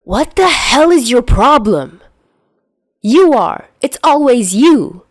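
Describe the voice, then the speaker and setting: A woman voicing a hot-tempered, stubborn injured young man in an audio story, gruff and defensive. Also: a little high, very animated, slow pace, no echo, clean studio sound, voice pushed up, full character voice. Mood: furious.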